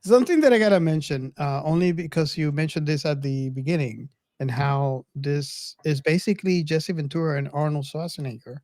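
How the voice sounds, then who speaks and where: A man's voice: on the high side, a little fast, hardly any pauses, a little mellow, no echo. A man co-hosting a podcast in casual, conversational talk. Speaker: podcast co-host. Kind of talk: casual chat.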